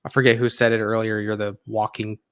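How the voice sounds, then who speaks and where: Guest: man, lowish voice, hesitant and relaxed, dry recording. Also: brisk, clear, resonant tone, dry recording. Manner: hesitant, repeats words, laid-back. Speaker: podcast guest. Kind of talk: casual chat.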